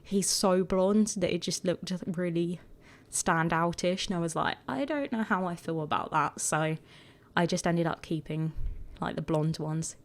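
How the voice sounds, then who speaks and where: Croaky, chatty woman. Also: on the low side, a little slow. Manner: chatty and rambling, full of "like" and "um". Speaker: gaming video creator. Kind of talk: casual voice-over commentary.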